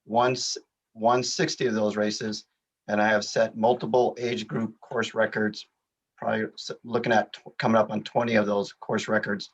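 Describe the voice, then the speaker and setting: Upbeat man in his sixties, low voice. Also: little pitch movement, normal speed. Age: 60s. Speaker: triathlete giving a testimonial. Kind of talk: testimonial interview.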